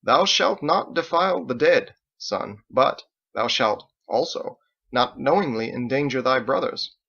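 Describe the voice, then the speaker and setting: An elderly storybook father, acted out by a male reader, effusive and pious. He wheedles and exclaims in a pitch that lifts above the reader's own, with a creaky edge, on a slightly dull recording. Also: animated, measured pace, a bit bright, heavily acted. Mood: indignant. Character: charming, fretful elderly father and tavern keeper, pious.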